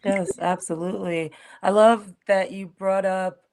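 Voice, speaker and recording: A woman moderates an online panel discussion in an upbeat, affirming way, thanking her guests and steering the conversation. Her voice sits at a medium pitch. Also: steady tone, a little slow, a little mellow, slight background noise. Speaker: host.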